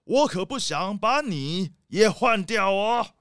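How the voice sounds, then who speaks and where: A man's voice: sits high, expressive, slow, fairly bright tone, clean tone, heavily acted. A man playing a boastful, irritable rich leopard boss in a children's audio drama, brusque and dismissive. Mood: grumbling.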